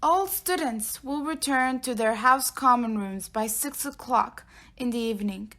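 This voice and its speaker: A woman's voice: on the high side, pushed and bright. A woman playing a solemn, stern teacher in an audio drama, speaking formally and firmly. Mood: stern, somber. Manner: formal, firm, measured. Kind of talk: fan audio drama acting.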